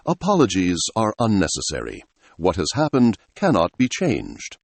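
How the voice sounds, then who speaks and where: A man voicing a calm, gentlemanly android bird from another dimension, speaking formally and politely in an audiobook story. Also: low voice, husky, no room sound, exaggerated voice. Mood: calm, earnest. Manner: formal, courteous, measured.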